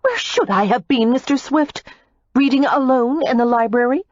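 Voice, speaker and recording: A woman's voice: sits high, moderate pace, no room sound, muffled recording, voice pushed up. A woman voicing a fiery indignant young woman. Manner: raised, heated, accusing delivery. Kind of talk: audiobook character voice.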